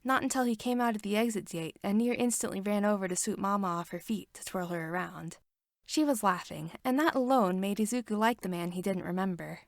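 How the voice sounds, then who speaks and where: A woman reading fan fiction aloud in a steady, even storytelling manner. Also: mid-range voice, brisk, dry recording, studio quality. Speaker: podfic reader.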